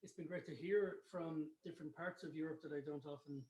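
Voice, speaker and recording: Male webinar co-host, slightly high voice, informal delivery, noticeably roomy echo. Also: steady tone, brisk, darkish tone. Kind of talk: informal summing up at an online event. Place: studio for online streaming. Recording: roomy, echoing sound.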